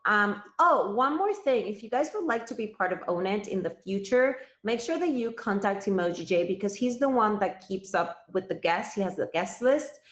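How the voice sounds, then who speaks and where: A woman's voice: medium pitch, lively intonation, normal speed, few pauses. A woman in her thirties from Cuba, a native Spanish speaker, hosting a live stream and chatting directly with her viewers. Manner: chatty, addresses viewers directly, thanks gifters. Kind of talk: casual live stream chat. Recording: roomy, slightly dull sound.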